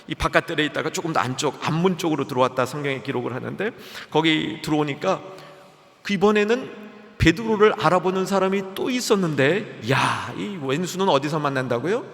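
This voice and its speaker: A man preaching a sermon as a pastor, lively and dramatic, acting out the voices in the story he tells. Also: fairly high pitch, gravelly. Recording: long hall reverb.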